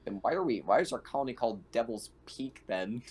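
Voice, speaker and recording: A man's voice: mid-range voice, animated, fairly quick, darkish tone, husky. A man playing a tabletop roleplaying game, chatting informally and swearing as he describes what his character does. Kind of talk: casual in-character game chat. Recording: faint background noise.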